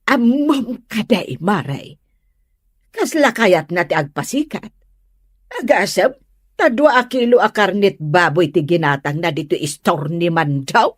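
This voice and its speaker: A woman's voice: fairly low pitch, animated, unhurried, dry recording, clean studio sound. A woman playing a gossipy, nosy neighbour who grumbles in a catty drawl in a comedy radio drama. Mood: catty gossiping.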